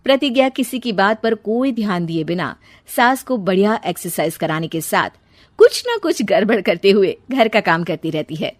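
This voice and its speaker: A woman's voice: mid-range voice, expressive, normal speed, no room sound. A woman reading scripted story narration.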